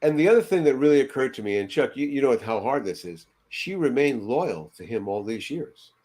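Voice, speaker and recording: An older man co-hosts a casual podcast from home. His mid-pitched voice is animated and moves around a lot, whether reading aloud or commenting. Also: brisk. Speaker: podcast co-host. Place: home room, on a video call. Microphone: headset.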